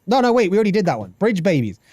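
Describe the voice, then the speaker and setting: A man's voice: high-pitched, racing, dry recording, clean studio sound. A British man in his thirties chatting casually on a game live stream, swearing freely and reading chat aloud. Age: 30s. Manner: animated, swearing, reads chat aloud and laughs often.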